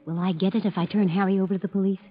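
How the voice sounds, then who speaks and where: An actress playing a shrewd, calculating young wife in a 1950s radio drama, cool and deliberate as she bargains. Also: medium pitch, flat delivery, lightly acted. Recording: muffled old radio recording.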